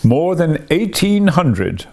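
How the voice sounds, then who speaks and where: A man's voice: fairly high pitch, very animated, takes it slowly, darkish tone, pitched above the performer's own voice, heavily acted. A man voicing a jolly, hearty giant Christmas spirit from a storybook, cheery and commanding. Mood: proud, genial. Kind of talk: storybook character reading.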